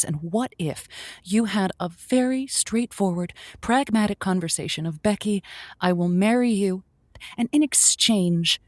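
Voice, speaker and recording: A woman's voice: mid-range voice, a little mellow, no echo, natural voice. Lady doing a perky folksy radio advice host, super encouraging, close dry mic. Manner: effusive, earnest, reassuring. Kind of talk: improvised comedy call-in.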